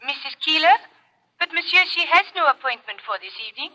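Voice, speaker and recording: A woman's voice: high-pitched, animated, fast talker, background noise. An actress playing a polite, obliging service woman on the telephone in a 1940s radio drama. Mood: polite, surprised. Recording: vintage radio broadcast with music.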